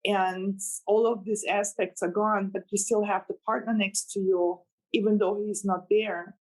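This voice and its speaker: A woman's voice: mid-range voice, level tone, moderate pace, poor audio. A woman from Austria whose first language is German, speaking English with an Austrian accent, chatting earnestly as a guest novelist in an interview. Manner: earnest, rambling, laughs with delight. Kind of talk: casual interview chat.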